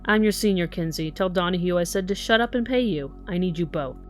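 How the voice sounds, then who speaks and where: A woman's voice: on the low side, a little fast, faint music behind, close to an everyday voice. A woman playing an angry, foul-mouthed police detective in an audio drama, blunt and sarcastic. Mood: irritated, commanding.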